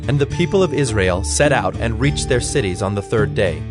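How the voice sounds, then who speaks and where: A man narrating a dramatized audio Bible as a scripted storyteller, in a measured, solemn manner. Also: fairly low pitch, animated, over music.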